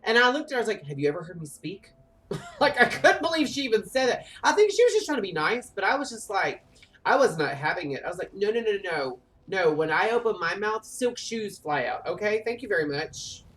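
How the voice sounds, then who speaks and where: A man in his thirties with a light, high voice, telling stories in animated, chatty gameplay talk. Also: fairly quick. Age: 30s. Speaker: streamer. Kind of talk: casual gameplay chat. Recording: voice a bit off-mic with faint game music.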